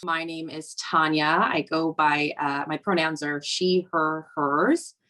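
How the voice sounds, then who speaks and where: A woman's voice: low voice, steady tone, slow, bright, forceful tone, poor audio. A young woman from Virginia, speaking reflectively in a documentary interview, with frequent "um" and "you know". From Virginia, USA. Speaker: snowboarder interviewee.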